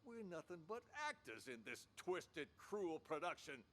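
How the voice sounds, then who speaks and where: A man's voice: fairly high pitch, very animated, a little slow, fairly bright tone. An actor playing a delusional, theatrical mental patient who thinks he is a director, pompous and conspiratorial in a radio drama style. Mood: grave, conspiratorial. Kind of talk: interactive radio drama acting.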